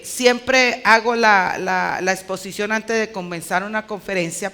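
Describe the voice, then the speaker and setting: A woman's voice: medium pitch, a bit bright. A woman with a Colombian accent preaching conversationally, with a clipped, emphatic delivery and rhetorical questions to her listeners. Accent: Colombian Spanish. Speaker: women's conference speaker.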